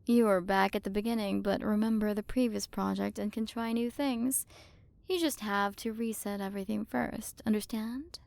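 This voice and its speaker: A woman playing a haughty, curious alien galaxy queen in an ASMR roleplay, soft and condescending, possessive of her human. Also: medium pitch, fairly even intonation, moderate pace, vocal fry, no echo, lightly acted. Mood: curious, clinical. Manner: soft, condescending, teasing, at times whispered.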